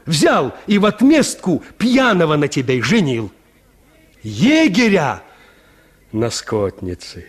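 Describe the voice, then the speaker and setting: A man's voice: on the high side, wildly swooping pitch, takes it slowly, breathy edge, full character voice. An actor in a radio drama playing a vain, callous peasant huntsman who talks in a boastful, dismissive, folksy way. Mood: proud, scornful. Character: vain, callous peasant huntsman scorning his unloved wife. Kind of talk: Russian radio drama acting.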